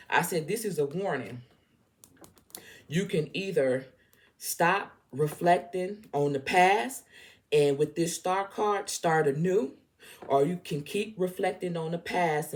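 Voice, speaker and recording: A woman's voice: low voice, a little mellow. A woman with a Southern US accent giving an informal tarot reading, speaking bluntly and emphatically and often repeating herself. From southern United States. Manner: emphatic, repetitive, blunt, checks in with "okay?". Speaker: tarot reader.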